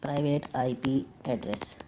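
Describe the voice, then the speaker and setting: A man's voice: mid-range voice, unhurried. A man giving an informal tutorial as an instructor, repeating key terms and mixing in English technical words. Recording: dull lo-fi mic with faint noise.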